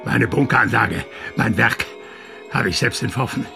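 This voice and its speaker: An actor playing a boastful, self-important engineer of ninety in a radio play. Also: male voice, mid-range voice, moderate pace, vocal fry, over music, lightly acted. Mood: self-satisfied. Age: older. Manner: self-important, speaks of himself by surname. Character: boastful ninety-year-old engineer, proud bunker builder. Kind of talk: radio drama acting.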